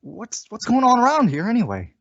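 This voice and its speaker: Man as flustered husband, animated intonation, stammering, good-natured, suspicious, radio comedy, lo-fi sound. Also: extremely high pitch, brisk, creaky, exaggerated voice. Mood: wry, suspicious. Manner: stammering, bewildered. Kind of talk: old-time radio comedy acting. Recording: dull, distant lo-fi recording.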